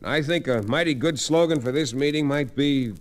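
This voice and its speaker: A man acting a steady, reasonable western hero in an old-time radio drama, earnest and folksy. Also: medium pitch, moderate pace, close to an everyday voice. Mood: urgent reasoning. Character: steady, reasonable male western hero who narrates his tale.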